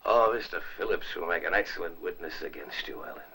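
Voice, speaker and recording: An actor playing a paranoid, bitterly jealous sick husband who taunts and accuses deliberately, in 1950s Hollywood film style. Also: male voice, sits low, bright, forceful tone, slightly rough, full character voice. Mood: taunting, accusing. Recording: vintage 1950s film soundtrack, light noise.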